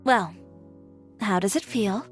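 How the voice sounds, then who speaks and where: A woman's voice: mid-range voice, expressive, slow, soft background music. A woman playing a self-assured young fashion designer in a video game, speaking in a composed, assertive and dryly candid way. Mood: calm, welcoming.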